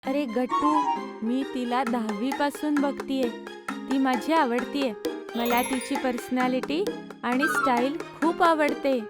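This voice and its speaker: A woman's voice: high-pitched, takes it slowly, over loud music. A woman playing an earnest young schoolgirl in a children's cartoon moral story. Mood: admiring, keen. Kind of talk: Marathi children's cartoon dialogue.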